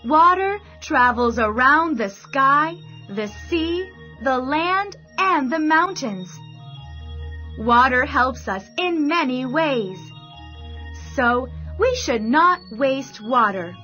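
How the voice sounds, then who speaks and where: Female bedtime-story narrator, bright and deliberate, slightly dull recording over a light music bed. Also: high voice, lively intonation. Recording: dull sound, soft music behind.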